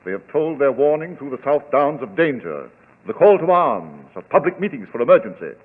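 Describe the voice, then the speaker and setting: An actor playing a courteous, stately English lord in an old-time radio drama, formal and oratorical. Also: male voice, mid-range voice, very animated, brisk, slightly rough. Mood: proud, solemn. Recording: muffled, thin old radio recording.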